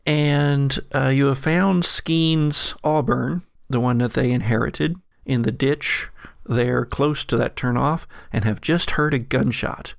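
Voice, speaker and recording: Game master, gravelly fry, dry close recording, halting explanatory narration, tabletop roleplaying. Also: mid-range voice, no room sound.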